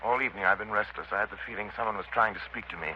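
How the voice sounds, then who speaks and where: An actor playing a suave, intense gentleman sleuth with mystic leanings in a 1930s radio drama. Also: fairly low pitch, very fast, a little hiss or hum. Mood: intent, hushed. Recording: muffled 1930s radio broadcast.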